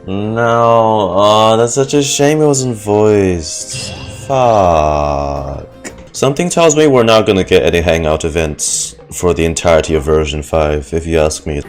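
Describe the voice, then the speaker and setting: A man's voice: sits low, measured pace. A man streaming casual game reaction commentary, sarcastic and sweary in his delivery. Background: game music.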